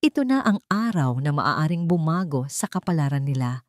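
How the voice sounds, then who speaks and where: A woman's voice: low-pitched, lively intonation, moderate pace, dry recording, clean studio sound. A woman reading a scripted story as a dramatic narrator.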